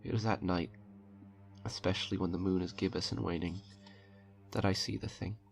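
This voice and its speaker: A man's voice: sits low, fairly even intonation, moderate pace, creaky. A man narrating an eerie short story in a dramatic audiobook style, as a storyteller reading aloud. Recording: music under the voice. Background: eerie background music.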